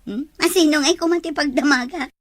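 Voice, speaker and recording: A woman's voice: high voice, brisk, no room sound, clean studio sound, lightly acted. A woman acting a friendly, teasing young office worker in a drama. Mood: friendly, playful. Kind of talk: Ilocano-language drama dialogue.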